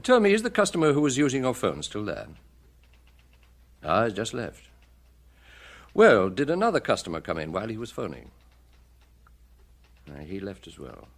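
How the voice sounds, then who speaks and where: A British actor playing a brisk, wry, dogged chief inspector with a husky voice in a radio drama. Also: male voice, fairly low pitch, huge pitch swings, full character voice. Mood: urgent, questioning.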